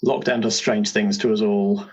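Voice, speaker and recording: A man's voice: fairly low pitch, fairly even intonation, normal speed, husky, highs cut off. A man hosting a podcast in a casual, chatty style, recorded remotely at home. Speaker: host.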